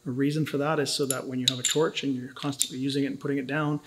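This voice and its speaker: Upbeat man with a gravelly voice. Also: mid-range voice, flat delivery, rapid-fire, noisy background. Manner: casual, joking, upbeat teaching. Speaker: welding instructor. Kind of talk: instructional video. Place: welding shop, indoors.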